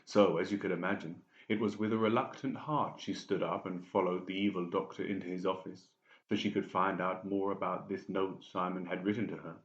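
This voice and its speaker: A man's voice: low voice, steady tone, a little fast. A man reading a dramatic scripted audiobook narration. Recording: dull, echoey room recording.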